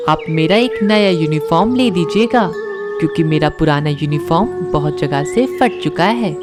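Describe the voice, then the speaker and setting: A woman's voice: low voice, lively intonation, moderate pace. A woman voicing a young schoolboy bird in a cartoon, an earnest, pleading and obedient son. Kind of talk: Hindi cartoon voice acting. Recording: loud music behind the voice.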